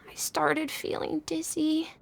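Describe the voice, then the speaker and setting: A woman voicing a sick, innocent little boy in a video game, with a small, breathy, frightened voice. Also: sits high, completely flat delivery, takes it slowly, slight background noise, heavily acted. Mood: sheepish, weary. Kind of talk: video game character acting.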